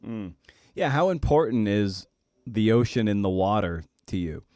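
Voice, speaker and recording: A man hosting a conversational interview, phrasing his questions in a halting, searching way. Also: fairly low pitch, expressive, darkish tone, dry recording, studio quality. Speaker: podcast host.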